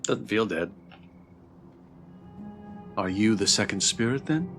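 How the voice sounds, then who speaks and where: Male streamer, slightly low voice, reading visual novel dialogue, casual delivery, music behind. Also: lively intonation, slow. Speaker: streamer playing and reading aloud.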